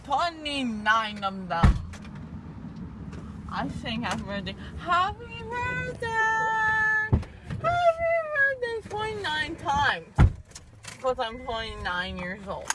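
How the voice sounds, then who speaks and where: A woman's voice: high voice, sing-song, takes it slowly. A young woman, groggy and numb-mouthed after dental surgery, rambling sillily and slipping into sing-song bits from a car's passenger seat. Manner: silly, slurred, breaks into sing-song. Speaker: patient after wisdom teeth removal. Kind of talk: loopy rambling chat. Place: passenger seat of a moving car. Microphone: phone camera held by driver. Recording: car road noise behind.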